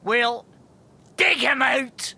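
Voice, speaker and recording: An actor playing a bossy, scornful, scheming farmer with a raspy voice in a children's audio drama. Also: male voice, very high voice, extremely slow, pushed and bright, full character voice. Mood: bossy, irritated. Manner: scornful, impatient. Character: scheming, bossy male farmer hunting a fox.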